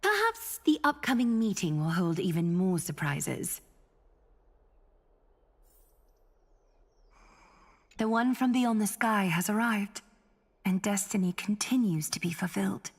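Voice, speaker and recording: A dignified, weary noblewoman in a fantasy game. The voice is husky-soft and medium in pitch, formal and poised, with long reverb around it. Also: very animated, normal speed. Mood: solemn, portentous. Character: solemn, dignified noblewoman weaver, gracious and weary. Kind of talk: scripted game voice acting. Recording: long reverb, music behind.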